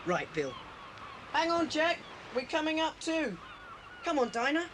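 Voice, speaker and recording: Actor as keen big-brother boy: high, lively, alert, protective, on a noisy old cassette. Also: unhurried. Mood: eager, protective. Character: keen, responsible boy leading younger children. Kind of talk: 1980s audio drama acting.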